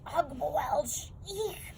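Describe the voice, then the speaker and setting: A high-pitched, foul-tempered giant played by a woman, greedy and bullying. He roars his threats in clumsy, mangled grammar, storybook style, over clear background noise. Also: very slow, exaggerated voice. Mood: disgusted, enraged. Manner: shouting, roaring, mangled comic grammar.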